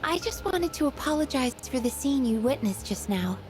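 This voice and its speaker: Meek polite young waitress in a game, high voice, apologetic, faint music. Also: female voice, expressive, normal speed. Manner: meek, courteous. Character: polite, apologetic young female restaurant worker. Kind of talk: anime video game voice acting.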